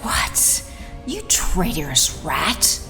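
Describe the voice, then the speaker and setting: A woman playing a haughty, volatile witch queen in an audio drama, with theatrical, drawn-out delivery. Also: low voice, wildly swooping pitch, creaky, pushed and bright, heavily acted. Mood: outraged. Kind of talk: villain acting in audio drama. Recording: long hall reverb, music behind.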